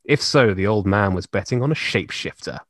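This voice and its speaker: A man's voice: on the low side, lively intonation, fairly quick, dry recording, studio quality. Man reading aloud with mock drama. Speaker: podcast host reading a novel aloud. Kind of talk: comedic group reading and banter.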